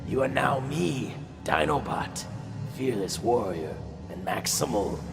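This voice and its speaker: A man's voice: medium pitch, expressive, slow, creaky, music in the background. A man playing a proud velociraptor robot warrior in a radio drama, with haughty, solemn declarations. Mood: commanding, defiant. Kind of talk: animated-series radio drama acting.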